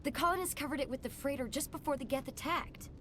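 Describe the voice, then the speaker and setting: An earnest, high-voiced young scientist. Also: female voice, quick pace, a bit bright, slight background noise. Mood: uneasy, remorseful. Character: earnest, guilt-ridden young female scientist, protective daughter. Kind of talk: video game voice acting.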